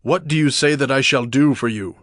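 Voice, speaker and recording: Man acting earnest, mid-pitched ancient king. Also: fairly quick, fairly bright tone, dry recording, natural voice. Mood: earnest, grave. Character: earnest, grave male king seeking atonement. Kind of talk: dramatized scripture acting.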